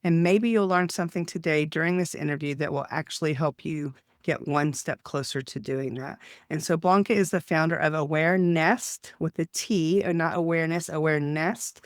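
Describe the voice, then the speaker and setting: Enthusiastic Texan host, smooth voice. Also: male voice, fairly high pitch, fairly even intonation, a little fast, no room sound, clean studio sound. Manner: enthusiastic, chatty. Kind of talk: casual interview hosting.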